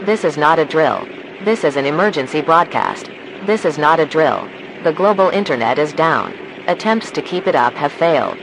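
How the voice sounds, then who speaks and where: A man playing a calm, formal emergency broadcast announcer, reading measured disaster instructions in a scripted alert. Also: fairly high pitch, vocal fry, background noise. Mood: grave, instructive.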